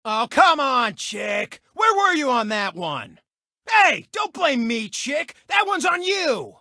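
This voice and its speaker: A man's voice: very high voice, big pitch swings, slow pace, pushed and bright, no room sound, clean studio sound, full character voice. A man voicing a brash, gloating male race car who hosts a racing show as a cocky, mocking sportscaster in a video game. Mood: annoyed. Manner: cocky, smug, showboating, mocking. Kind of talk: animated video game character commentary and taunts.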